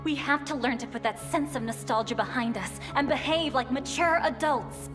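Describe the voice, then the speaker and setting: An actress as a high-voiced, wounded young granddaughter. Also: female voice, normal speed, over music, natural voice. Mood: wounded, resolute. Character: grieving, proud young granddaughter of a veteran pilot. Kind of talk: scripted dramatic game acting.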